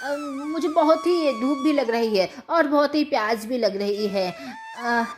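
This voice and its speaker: A woman's voice: sits high, measured pace. A woman voicing a haughty, impatient, envious younger woman in a cartoon moral story, with a whiny manner. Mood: curious, scheming. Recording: music behind the voice.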